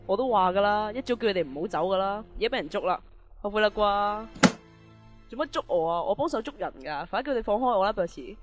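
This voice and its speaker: Man playing high-voiced, excitable prison snitch. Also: fairly quick, muffled recording. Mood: gloating, indignant. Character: shrill, gloating, lovestruck prison snitch. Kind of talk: amateur radio drama acting. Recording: radio drama with music underneath.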